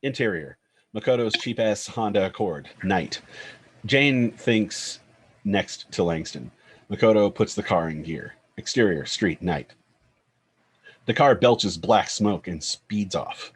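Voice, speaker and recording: A man narrates screenplay action and scene headings. His voice sits low with a rough, husky grain, recorded dry and close. The reading is scripted. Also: expressive, slow, no echo. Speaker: narrator reading stage directions.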